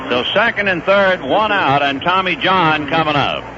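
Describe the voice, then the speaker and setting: A man calling baseball play-by-play on the radio in a conversational, lively manner. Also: fairly high pitch, moderate pace. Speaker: play-by-play announcer. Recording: dull 1970s radio broadcast with hiss.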